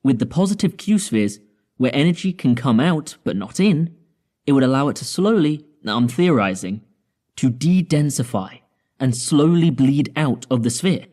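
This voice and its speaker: Mellow, measured spaceship scientist. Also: male voice, mid-range voice, expressive. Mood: calm, resolute. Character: measured, confident male spaceship scientist proposing drastic plans. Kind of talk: audio drama acting.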